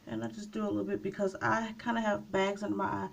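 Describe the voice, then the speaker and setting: A woman chatting casually through a makeup tutorial, her voice slightly raspy from a coming cold, unhurried and trailing off with small pauses. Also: mid-range voice, level tone. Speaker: beauty vlogger.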